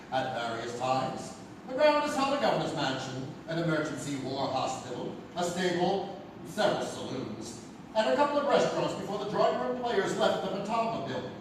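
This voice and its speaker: A man delivering grandiose, dramatic scripted narration for an anthology show on a theatre stage. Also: high voice. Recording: distant, very echoey theatre with noise.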